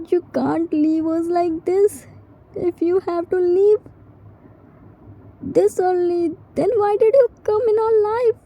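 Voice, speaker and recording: Woman voicing a very high, pleading, grieving young man. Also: dark tone, exaggerated voice, rough recording. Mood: pleading, anguished. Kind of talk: dramatised fan-fiction dialogue.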